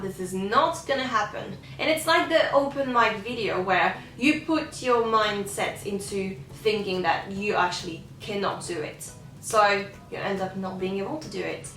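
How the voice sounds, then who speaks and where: A woman's voice: a little high, a bit bright. A young woman and singer, speaking English as a second language, earnestly telling a personal story to her viewers. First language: not English. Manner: earnest, open and confessional. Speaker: singer and vlogger. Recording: roomy sound, slightly distant from the mic.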